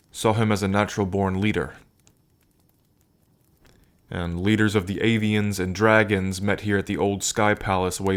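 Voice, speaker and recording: Low-voiced, intimate dragon king played by a man. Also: lightly acted. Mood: patient, explaining. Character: affectionate dragon king, wry, regal, weary of council politics. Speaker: roleplay character performer. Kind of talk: ASMR roleplay, intimate in-character monologue.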